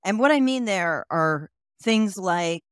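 A woman in her forties with an American accent, a company CEO answering interview questions in a measured way, often pausing with "um". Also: mid-range voice, animated, clean tone, dry recording, clean studio sound. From United States. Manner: measured, many ums while thinking. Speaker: CEO guest. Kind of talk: podcast interview.

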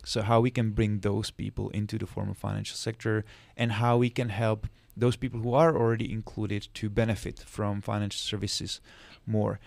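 A man talks through his work in a measured, explanatory way. His voice sits low with a gravelly edge and stays flat in tone. The sound is close and dry. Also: dry recording. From Czech Republic. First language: Czech. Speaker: guest, financial sector specialist. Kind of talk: podcast interview.

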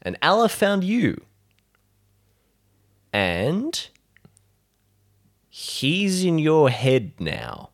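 A man voicing a worried, apologetic man explaining himself haltingly in a tabletop role-playing show. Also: on the high side, huge pitch swings, voice pushed up, exaggerated voice. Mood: earnest, apologetic.

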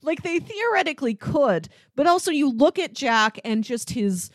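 A woman's voice: high voice, sing-song, moderate pace, no room sound, clean studio sound. A woman talking casually as a podcast co-host about film, explaining earnestly and peppering her talk with "like".

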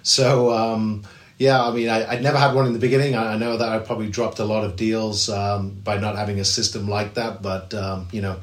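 Low-voiced English man in an echoey room. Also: level tone, brisk. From England. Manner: chatty, many fillers and restarts. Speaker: real estate agent. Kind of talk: podcast interview.

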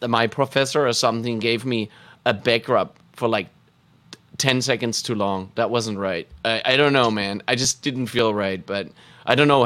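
A man's voice: mid-range voice, a bit bright, smooth. A man with a mid-range voice banters on a live stream, trading jokes and egging the audience on. He talks loosely, with plenty of fillers and restarts, and plays up his own puns. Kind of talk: casual banter, telling puns.

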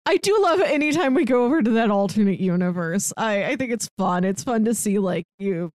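A woman co-hosting a podcast, chatting casually and excitedly about a TV show, laughing often. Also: mid-range voice, a little fast, no room sound, studio quality. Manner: animated, laughs often, acts out quotes. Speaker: podcast co-host. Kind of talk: casual chat reviewing a TV show.

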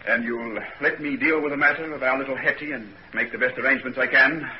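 A man acting a loyal, worried, bluff gentleman in a 1940s radio drama. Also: mid-range voice, steady tone, fast talker, natural voice. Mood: worried, exasperated. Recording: dull, noisy old 1940s radio recording.

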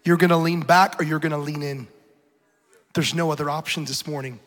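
Thirties male preacher, forceful and urgent, ringing hall reverb. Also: fairly high pitch, fairly even intonation, fairly quick. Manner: urgent, emphatic, rhetorical repetition. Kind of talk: passionate sermon. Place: large church hall.

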